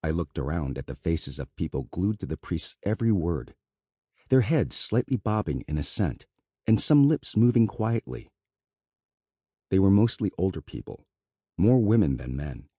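A man reading an audiobook as narrator, in measured, even scripted narration, close to a studio mic. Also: low-pitched, husky, no room sound.

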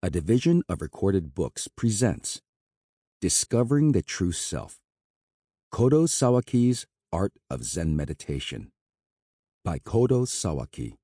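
A man reading an audiobook as narrator, in measured, even scripted narration, close to a studio mic. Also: sits low, breathy edge, no echo.

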